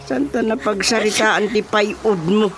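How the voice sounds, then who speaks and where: A woman's voice: medium pitch, steady tone, measured pace, lightly acted. An actress playing a village chairman in a radio comedy drama, exclaiming and incredulous. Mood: exasperated.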